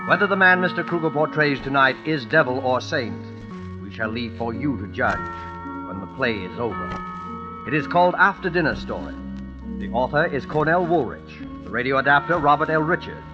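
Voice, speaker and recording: Ominous radio suspense host played by a man, formal and measured, mid-pitched, over music on a dull vintage recording. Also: lightly acted. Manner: formal, deliberate. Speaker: announcer and series host. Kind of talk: 1940s radio suspense announcing.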